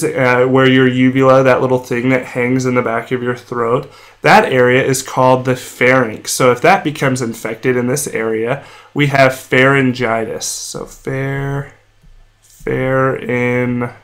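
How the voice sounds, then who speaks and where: A man's voice: mid-range voice, little pitch movement, a bit bright. Congested, nasal-sounding man explaining at a whiteboard. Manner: plain explanatory, slows on medical terms. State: sore throat, feverish. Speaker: medical educator. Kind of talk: whiteboard lecture while drawing.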